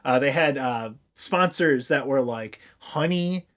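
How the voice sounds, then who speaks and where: A man's voice: mid-range voice, takes it slowly, fairly bright tone. An American man from the Midwest co-hosting a podcast in casual comic chat, rambling through stories with crude, loose wording. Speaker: podcast co-host.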